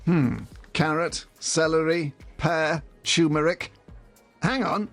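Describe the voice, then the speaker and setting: A man's voice: fairly high pitch, takes it slowly, faint music behind, voice pushed up. A man playing a petty, pedantic detective in a comic audio drama, speaking with fussy indignation. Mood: pedantic, indignant. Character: fussy, petty male older detective, easily sidetracked by grievances. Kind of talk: audio drama parody acting.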